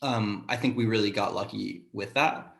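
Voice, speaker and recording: A man answering casually in a panel discussion as a sound designer, haltingly thinking aloud as he goes. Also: on the low side, gravelly, a bit bright. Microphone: video call. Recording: roomy echo on a video call.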